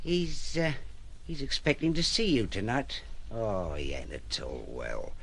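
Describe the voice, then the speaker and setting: An actor playing a wily, servile henchman with rough colloquial speech in a radio drama. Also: on the low side, huge pitch swings, unhurried, a bit bright, exaggerated voice. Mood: ingratiating, sly. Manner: ingratiating, colloquial, muttering asides.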